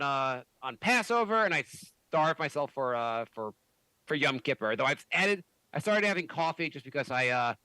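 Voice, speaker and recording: A man hosting a podcast, chatting casually, animated and swearing often with many restarts. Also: mid-range voice, no room sound. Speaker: podcast host. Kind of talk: casual chat.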